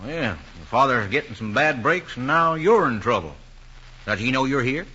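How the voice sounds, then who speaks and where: An actor playing a good-natured small-town sheriff with a husky voice and a Southern American accent, in old-time radio drama style. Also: male voice, mid-range voice, sing-song, moderate pace, bright, forceful tone, heavily acted. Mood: amused, puzzled. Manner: folksy, easygoing. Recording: muffled old radio recording.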